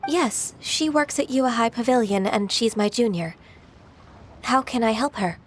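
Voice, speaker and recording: An actress playing a dutiful, modest secretary in a video game, formal, composed and deferential. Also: female voice, on the high side, a little slow, a little hiss or hum, lightly acted. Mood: respectful. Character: polite, modest, dutiful young-sounding woman secretary.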